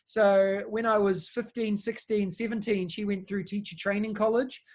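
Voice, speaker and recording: A man's voice: high voice, flat delivery, moderate pace, darkish tone. A man in his thirties from New Zealand with a New Zealand accent, chatting as an interview guest in an upbeat, friendly way. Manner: upbeat, friendly, talks at length with fillers. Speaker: guest, education technology consultant. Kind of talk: casual conversational interview.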